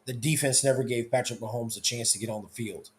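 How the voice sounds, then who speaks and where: A hoarse young man hosting a casual football talk show, talking loosely with lots of restarts. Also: medium pitch, steady tone, fast talker, slight background noise. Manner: loose, conversational, with many restarts. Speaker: sports talk show host.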